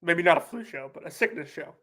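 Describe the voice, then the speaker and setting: A man's voice: on the high side, animated, brisk, sharp tone. A sick young man co-hosting a casual sports podcast, chatty and full of "like" fillers. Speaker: podcast co-host.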